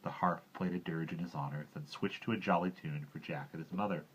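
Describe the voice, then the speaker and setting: A man's voice: sits low, steady tone, brisk, a little hiss or hum. A man narrating a children's story expressively as a storybook reader, on a slightly dull recording.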